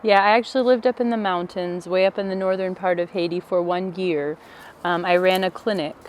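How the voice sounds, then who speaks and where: A woman's voice: on the low side, fairly even intonation, fairly quick. Woman chatting casually in a noisy outdoor garden. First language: Pennsylvania Dutch German dialect. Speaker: family vlog host.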